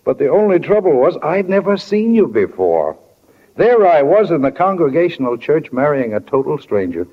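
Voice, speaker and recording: A man's voice: fairly high pitch, very animated, moderate pace. A man playing a middle-aged small-town doctor and fond, teasing husband in a 1940s radio play, folksy and dryly humorous. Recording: muffled 1940s radio recording.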